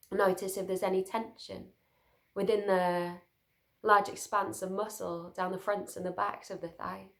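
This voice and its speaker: Woman, meditation guide, mid-pitched, gravelly creak, soothing, gentle delivery, pauses between short phrases.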